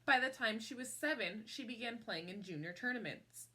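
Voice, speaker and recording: A woman reading a picture book aloud in an expressive storytelling style, phrasing in a measured way and leaning on key words. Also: fairly high pitch, breathy edge.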